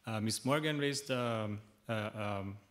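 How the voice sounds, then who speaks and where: A young man from Hungary, a native Hungarian speaker, asking an audience question haltingly. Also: fairly low pitch, a bit bright. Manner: halting, hesitant.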